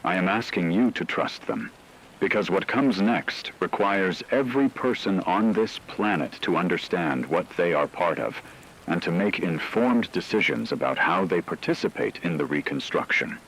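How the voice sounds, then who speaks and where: Deep-voiced, solemn crisis broadcaster played by a man. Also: animated, a bit bright, a little hiss or hum, rough recording. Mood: earnest, urgent. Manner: solemn, deliberate, oratorical. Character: grave, resolute male veteran crisis broadcaster on emergency radio. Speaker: radio broadcaster. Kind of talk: scripted dramatic radio monologue.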